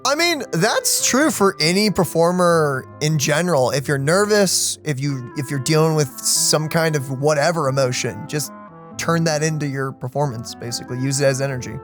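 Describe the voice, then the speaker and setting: A woman's voice: deep voice, lively intonation, normal speed. A woman giving laid-back, musing reaction commentary as she plays through a game. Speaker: let's play streamer. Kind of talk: casual gameplay reaction commentary. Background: game music.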